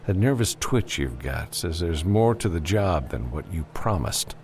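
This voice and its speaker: A man reads as a gruff, wry freelancer in a space adventure audiobook. The voice is very low and gravelly. He keeps it casual and dry, never rattled. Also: sing-song, unhurried, darkish tone, a little hiss or hum, full character voice. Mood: cool, probing. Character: gruff, level-headed freelance spaceship pilot, cool and wry.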